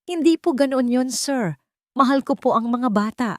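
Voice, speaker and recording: Woman playing a tearful young maid, trembling, sobbing, humble begging, high voice, dry close audio. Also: moderate pace, no echo, lightly acted. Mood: tearful pleading. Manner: sobbing, trembling, polite pleading.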